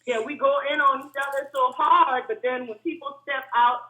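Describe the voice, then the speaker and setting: A woman calling in to a talk show, speaking in a monotone, hard-edged voice, earnest and steady. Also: high-pitched, normal speed. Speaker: caller. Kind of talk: phone-in comment. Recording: tinny phone line.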